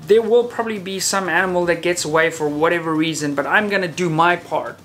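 A man in his thirties talking casually to camera as a channel host, in a conversational way with hesitant, self-qualifying pauses. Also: fairly high pitch, fairly bright tone, faint music behind. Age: 30s. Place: indoor room, talking to camera.

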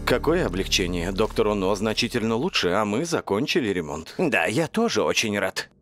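A man's voice: fairly low pitch, very animated, normal speed, over music. A man playing a concerned, thoughtful adult leader of young pilots in a cartoon dub. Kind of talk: animated series dub.

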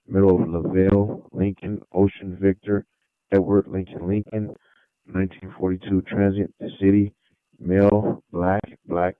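A man's voice: sits low, clear, resonant tone, no echo. A man reading out details in clipped police radio style over a scanner channel. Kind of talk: clipped radio spelling and records check. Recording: muffled narrow-band police scanner audio.

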